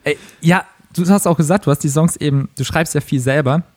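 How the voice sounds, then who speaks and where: A slightly hoarse German man hosting a casual interview, chatty and quick to laugh. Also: fairly high pitch, darkish tone, no echo. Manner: fast, chatty, laughs often. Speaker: podcast host, musician.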